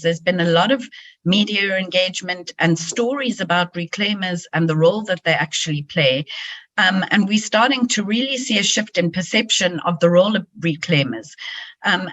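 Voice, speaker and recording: Woman, hoarse from a cold, webinar speaker, earnest with lots of ums, dull call audio. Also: fairly low pitch, fairly bright tone. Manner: earnest, explanatory, frequent ums. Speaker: resident and community organiser. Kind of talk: webinar presentation. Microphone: video call. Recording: muffled video call audio.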